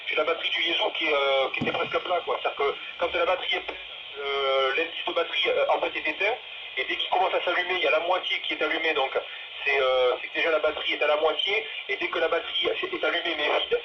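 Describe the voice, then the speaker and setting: A man's voice: a little high, lively intonation, fast, lo-fi sound. Man chatting over noisy two-way radio. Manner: informal, slangy. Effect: two-way radio transmission. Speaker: amateur radio operator. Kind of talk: casual radio chat.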